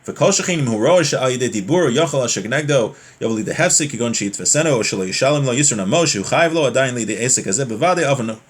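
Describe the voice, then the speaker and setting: A man's voice: mid-range voice, lively intonation, quick pace, few pauses, breathy edge. A man teaching a religious class informally, reading from a text and explaining it conversationally with everyday examples.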